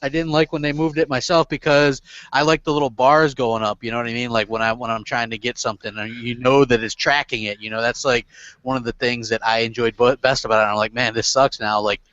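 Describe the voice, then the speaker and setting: A man's voice: medium pitch, steady tone, brisk, fairly bright tone, no room sound, dull audio. A man hosting a casual gaming podcast, rambling with lots of fillers and restarts and casual swearing. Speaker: podcast host.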